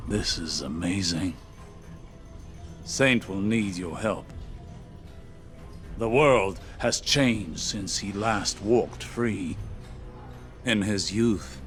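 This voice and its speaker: A man playing an aloof, wry older warlock sage in a video game, speaking in a dry, measured, sardonic manner. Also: lowish voice.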